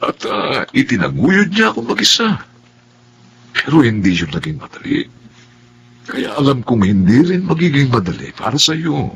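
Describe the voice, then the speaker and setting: An actor playing an understanding male boss in a radio drama, speaking in a measured way with a Filipino accent. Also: mid-range voice, huge pitch swings, creaky, a bit bright, slight background noise, full character voice. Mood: sympathetic. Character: sympathetic male boss, raised by a single mother.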